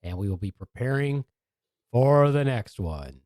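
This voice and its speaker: Teasing podcast host, lively pitch. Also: male voice, measured pace, no echo, clean studio sound. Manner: teasing, rambling with restarts. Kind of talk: casual podcast banter.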